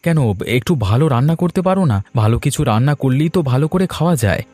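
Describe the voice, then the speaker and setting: A man's voice: fairly high pitch, animated, rapid-fire, a little mellow, no room sound, soft background music, lightly acted. A man voicing an idle grown son from a poor household, whining and argumentative, in a moral-tale cartoon. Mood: sulky, complaining.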